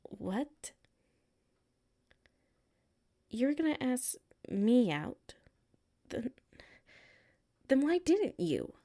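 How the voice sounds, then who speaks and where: A woman's voice: fairly high pitch, very animated, very slow, mellow, full character voice. A woman playing a hurt, defensive tsundere coworker with a secret crush in a roleplay audio, exasperated and emphatic, dropping into sulky murmurs. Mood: surprised, tentative. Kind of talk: roleplay audio acting, one side of a conversation.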